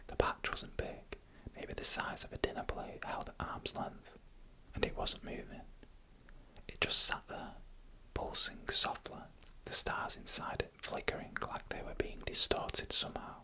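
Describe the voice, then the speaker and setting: A narrator telling scary stories in a breathy ASMR whisper, hushed and deliberate, in short phrases close to the microphone. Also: rough recording. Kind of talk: whispered ASMR horror storytelling, scripted narration. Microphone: close microphone made for headphone listening.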